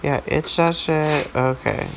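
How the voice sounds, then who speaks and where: A woman voicing her own raspy, crude, mocking alter ego who heckles her story while she has a cold. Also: low voice, slow, over a noisy crowd, full character voice, low-quality recording. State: sick with a cold. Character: snarky, foul-mouthed mocking female alter ego.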